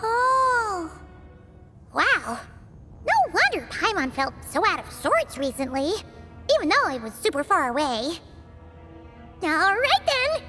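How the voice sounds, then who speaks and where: A woman voicing a tiny excitable girl companion in a video game, chirpy and calling herself by name. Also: very high voice, takes it slowly, pauses often, full character voice. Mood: eager. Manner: chirpy, refers to herself in third person. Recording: game cutscene with music.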